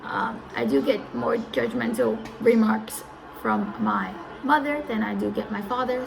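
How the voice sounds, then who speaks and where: A woman's voice: a little high, gravelly, low-quality recording. A woman in her early twenties giving casual, rambling advice as a live streamer, often repeating herself and losing her thread. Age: early 20s.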